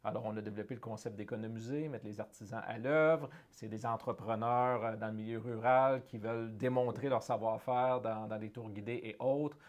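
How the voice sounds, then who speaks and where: A man's voice: on the low side, steady tone, normal speed, hardly any pauses. Earnest middle-aged Canadian French man. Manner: earnest, searching for words with frequent restarts. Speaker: guest, head of a francophone economic development organization. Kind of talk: conversational interview.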